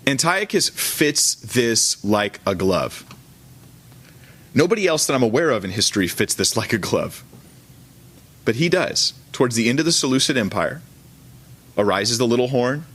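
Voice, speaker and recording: A man with a mid-pitched voice teaches as a pastor in a church service. He speaks informally and colloquially, correcting himself along the way as he explains things to his listeners. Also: big pitch swings, normal speed, long pauses, a bit bright. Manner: conversational and colloquial, with self-corrections.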